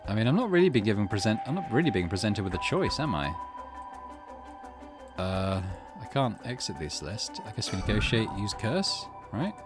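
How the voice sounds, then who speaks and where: A man's voice: fairly low pitch, very animated, normal speed, music in the background. A man hosting a gaming channel, giving casual let's play commentary and reading choices aloud.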